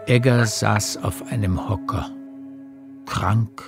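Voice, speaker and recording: A man reading scripted literary narration for a radio drama in a measured storytelling manner. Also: on the low side, fairly even intonation, gravelly, over music.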